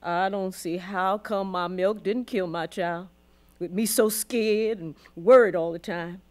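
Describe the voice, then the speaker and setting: A woman's voice: medium pitch, fairly even intonation, measured pace, darkish tone, natural voice. A woman acting a weary, devout old formerly enslaved grandmother in a radio drama, with a Southern US accent and solemn, emotive storytelling. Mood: fearful, haunted.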